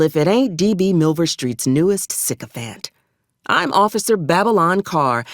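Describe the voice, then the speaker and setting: A woman's voice: low-pitched, vocal fry, no room sound, full character voice. A woman playing a sardonic, bloodthirsty corporate officer in a video game, with dry, haughty delivery and folksy, ornate, old-fashioned phrasing. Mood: scornful, curt. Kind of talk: video game character dialogue.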